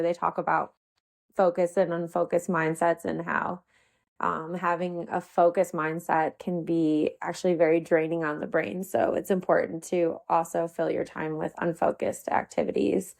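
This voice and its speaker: A woman's voice: on the low side, level tone, normal speed, creaky. A woman hosting a podcast interview, casual and encouraging, backing the guest with frequent agreement. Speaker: podcast host.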